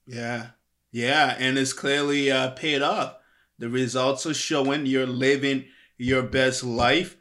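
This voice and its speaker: A man hosting an interview podcast, animated and emphatic, with many fillers like "you know" and "like". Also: mid-range voice, takes it slowly. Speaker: podcast host.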